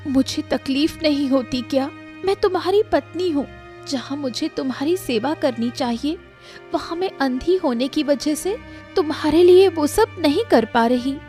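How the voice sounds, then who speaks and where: A woman voicing a gentle, self-doubting young wife in a cartoon story, earnest and plaintive. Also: high voice, moderate pace, soft background music, natural voice. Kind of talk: Hindi cartoon story voice acting.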